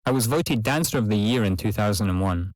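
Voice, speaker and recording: An actor playing a confident principal ballet dancer from Italy, giving a factual monologue for a listening exam. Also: male voice, on the low side, animated, fairly quick, a little mellow, dry recording, lightly acted.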